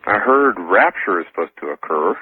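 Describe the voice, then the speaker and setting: A man's voice: deep voice, lively intonation, normal speed, slightly rough. A man speaking casually as an interview guest on a radio show. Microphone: telephone. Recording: tinny phone line on archived radio.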